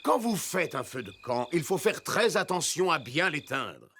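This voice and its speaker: A man playing a friendly, earnest rescue-vehicle robot in a children's cartoon dub, speaking in a clear, instructive way. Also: fairly high pitch, big pitch swings, normal speed. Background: loud music.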